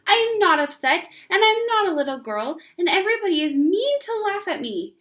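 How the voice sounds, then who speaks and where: A woman's voice: extremely high pitch, very animated, a little fast, pitched above the performer's own voice, exaggerated voice. A woman voicing a stubborn, proud kindergarten girl in a storybook, with defiant, scornful delivery. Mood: furious, yelling. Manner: defiant yelling and scornful retorts.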